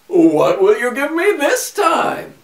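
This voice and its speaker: A man voicing a funny, sly little man in a fairy tale, with a high shrill tone. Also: wildly swooping pitch, slow pace, heavily acted. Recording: echoey room, far from mic.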